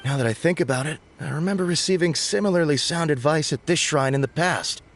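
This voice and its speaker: A man's voice: on the high side, a little fast, slight background noise, close to an everyday voice. Man acting an earnest, devout shrine visitor. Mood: startled, grateful. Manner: polite, exclamatory, trusting. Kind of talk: video game voice acting.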